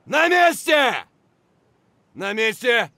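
An actor playing an aggressive, foul-mouthed Russian soldier barking urgent, coarse shouts in a military shooter game. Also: male voice, extremely high pitch, big pitch swings, extremely slow, gravelly, no echo, exaggerated voice. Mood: urgent, shouting.

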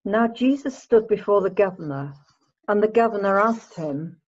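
A woman narrating a Bible story in a measured, formal read-aloud manner. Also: medium pitch, animated, a little mellow, husky. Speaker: narrator of a church scripture reading. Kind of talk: dramatic Bible reading, scripted narration. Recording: dull lo-fi home recording.

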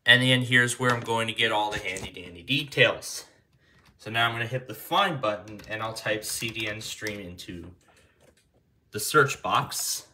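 A man's voice: fairly low pitch, normal speed, sharp tone. A man giving a casual step-by-step tutorial, often repeating and rephrasing himself as he explains. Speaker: tutorial host. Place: indoor room at a table.

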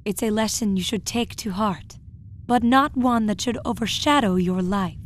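An actress playing a calm, devoted counselor to a warlord in a comic dub, measured and reassuring. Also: female voice, mid-range voice, a little hiss or hum, close to an everyday voice. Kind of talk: comic-dub drama acting.

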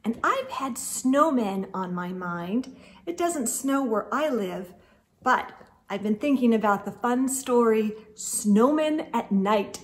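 A middle-aged woman hosting a children's storytime, reading a picture book aloud in an expressive, sing-song way and talking directly to her young listeners. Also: a little high, slow. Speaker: host of children's storytime show. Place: indoors in a room lined with bookshelves.

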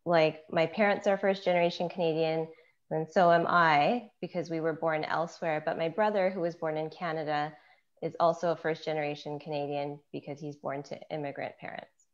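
A woman hosting a panel over a video call, speaking in a thoughtful, measured way through an earbud headset. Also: deep voice, steady tone. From Kenya. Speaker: panel host. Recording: dull lo-fi call audio.

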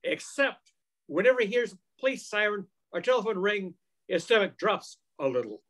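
An older man narrates a radio mystery from a script. His voice is high for a man. The delivery follows old-time radio storytelling, captured on a video call. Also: sing-song, normal speed, heavy background noise. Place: home room, video call. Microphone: computer mic on a video call.

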